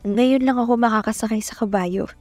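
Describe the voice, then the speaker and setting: A woman's voice: fairly high pitch, fairly even intonation, a little fast, a little mellow, no echo, natural voice. An actress playing a timid, tender young Filipina telling her love story in a romantic audio drama, speaking with a Filipino accent. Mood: shy, nervous. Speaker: narrator and lead actress. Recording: music bed behind voice.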